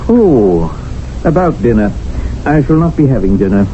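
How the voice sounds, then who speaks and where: Actor as a dry-witted colonial official of forty, dark-toned voice swinging wildly, muffled radio sound with faint hiss. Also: male voice, mid-range voice, sing-song, normal speed, lightly acted. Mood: wry. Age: 40s. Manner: clipped, upper-class, wryly teasing. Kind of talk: 1970s radio drama acting.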